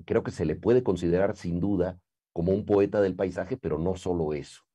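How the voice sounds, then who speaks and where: A middle-aged man with a Mexican accent interviewing a guest, articulate and measured. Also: deep voice, dark tone. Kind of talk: cultured interview conversation. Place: living room with bookshelves, seated.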